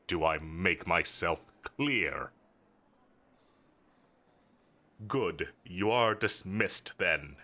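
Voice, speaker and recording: A man's voice: low-pitched, lively intonation, slow pace, pushed and bright, exaggerated voice. A man playing a confident, teasing villain commander in a roleplay audio drama, drawling and flirtatious with a bossy edge. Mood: stern, commanding. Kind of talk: scripted roleplay audio drama addressed to the listener.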